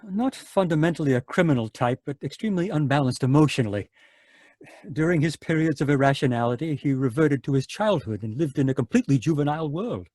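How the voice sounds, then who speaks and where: A man playing a husky, fretful psychiatrist. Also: fairly high pitch, lively intonation, fast talker, a little mellow, no room sound, clean studio sound. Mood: anxious. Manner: hesitant, stammering and anxious. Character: worried male psychiatrist doctor. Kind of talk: old-time radio drama acting. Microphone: home mic on a video call.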